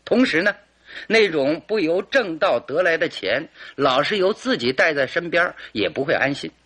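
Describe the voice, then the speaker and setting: Theatrical, animated detective. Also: male voice, fairly high pitch, moderate pace, husky, heavily acted. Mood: animated narrating. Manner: theatrical, leisurely deductive storytelling with rhetorical questions. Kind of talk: old-fashioned Mandarin radio drama acting.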